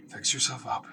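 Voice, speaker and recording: An actor in a radio drama playing an anxious, obsessive nineteenth-century doctor who mutters haltingly to himself. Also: male voice, noisy background. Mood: agitated self-reproach. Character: agitated, obsessive 19th-century German male doctor. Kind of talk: radio drama acting, monologue and journal narration. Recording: distant miking, music underneath.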